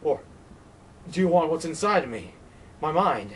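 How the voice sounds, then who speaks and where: A man's voice: sing-song, normal speed, breathy edge, recorded from a distance. A man voicing a frantic, terrified man who rages and pleads against the wind. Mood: feverish. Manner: shrill, shouting, panicked.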